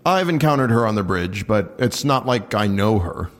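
A man's voice: lowish voice, expressive, moderate pace, lightly acted. An actor playing a guarded male patrol officer in a naturalistic audio drama, blunt, offhand and reluctant to talk. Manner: offhand, reluctant, plain-spoken.

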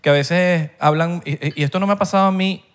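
A man, co-hosting a podcast and chatting in an animated, slangy way. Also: high voice, quick pace, clean tone. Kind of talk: casual chat.

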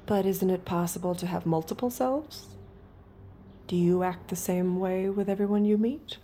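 Audio drama lead: actress as detached young female escort, low and mellow, measured, intimate delivery. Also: fairly even intonation, a little hiss or hum, close to an everyday voice. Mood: guarded, reflective. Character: guarded, detached young woman escort hiding her true self. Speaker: lead character and narrator. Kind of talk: intimate audio drama acting with inner narration.

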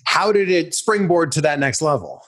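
A man's voice: fairly high pitch, big pitch swings, moderate pace, a bit bright. A man interviewing a guest, asking his questions in a friendly, conversational way.

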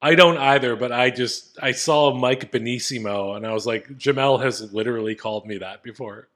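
A man's voice: mid-range voice, moderate pace, a bit bright. Male podcast co-host, middle-register voice, casual comedic banter, joking and salty-tongued. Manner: profane, joking.

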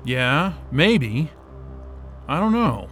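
Audio drama casting: impatient, exasperated stranded man, sharp and highish voice, muttering and cursing, music underneath. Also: sing-song, drawn-out words, exaggerated voice. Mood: puzzled, wary. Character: frantic, hot-tempered stranded young man, foul-mouthed and impatient. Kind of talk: naturalistic audio drama acting.

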